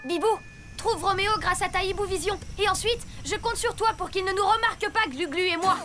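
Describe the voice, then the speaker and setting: A woman's voice: very high-pitched, fairly quick, background noise. A woman playing a brave, bossy young boy superhero in a children's cartoon, confident and exclamatory. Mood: confident, commanding. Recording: music behind the voice.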